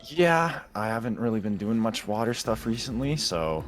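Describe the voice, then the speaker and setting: A man's voice: lowish voice, big pitch swings, moderate pace. A man in a Minecraft roleplay playing an awkward, easygoing young guy who talks casually, with hesitant stammering. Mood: hesitant, cagey. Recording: clear music behind the voice.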